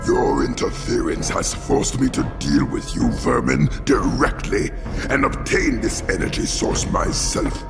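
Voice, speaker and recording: A man voicing an arrogant alien villain commander in a cartoon, harsh, gravelly, pompous and menacing. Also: mid-range voice, wildly swooping pitch, unhurried, heavily acted. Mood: menacing, contemptuous. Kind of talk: animated cartoon villain speech. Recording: cavernous reverb, very loud music behind.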